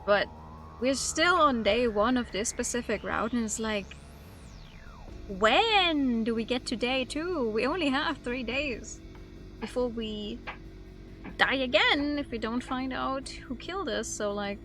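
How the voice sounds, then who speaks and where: A woman's voice: fairly high pitch, animated, measured pace. A woman livestreaming a game, commenting casually and reading bits of text aloud as she chats with viewers. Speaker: streamer. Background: soft background music and game audio.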